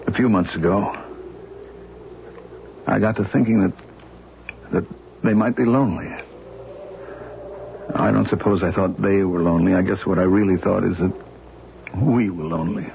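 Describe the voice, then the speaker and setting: An actor playing a gentle grieving husband who speaks in a quiet, halting way, in a 1970s radio drama on a dull, muffled old recording. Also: male voice, sits low, steady tone, gravelly, slight background noise.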